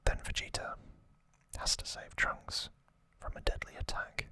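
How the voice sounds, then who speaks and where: A man hosting ASMR in a breathy, almost entirely unvoiced whisper, speaking gently and unhurriedly to his viewers. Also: unhurried, no room sound. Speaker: ASMR host.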